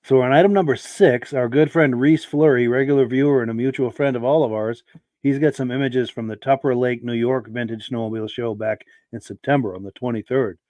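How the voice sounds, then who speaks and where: A man hosting a podcast in a relaxed, chatty way, reading out viewer comments while his voice is hoarse from a sore throat. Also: medium pitch, a little fast, no room sound. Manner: relaxed, chatty, affirming with yeahs. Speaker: podcast host.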